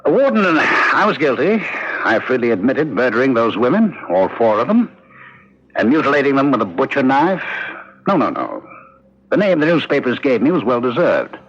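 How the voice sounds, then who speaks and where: Refined ex-professor turned killer, radio drama. Sweeping, dramatic delivery, husky tone, emphatic and polished. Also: male voice, mid-range voice, big pitch swings, normal speed, fairly bright tone. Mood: urbane, eager. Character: cultured, talkative condemned murderer, former parapsychology professor. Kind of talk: 1970s radio drama acting. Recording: dull lo-fi old radio broadcast.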